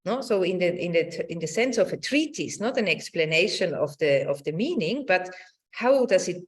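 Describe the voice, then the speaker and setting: A middle-aged woman giving a semi-improvised academic talk over a video call, haltingly searching for words and checking with a questioning tag. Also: on the low side, lively intonation. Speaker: academic lecturer. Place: indoor room, online presentation.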